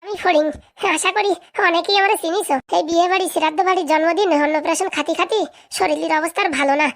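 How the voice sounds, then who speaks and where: Cheeky cartoon host, female performer: high-pitched, rapid, chummy, teasing comic monologue with mock complaints. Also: fairly even intonation. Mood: mock-complaining. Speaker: host answering viewer comments.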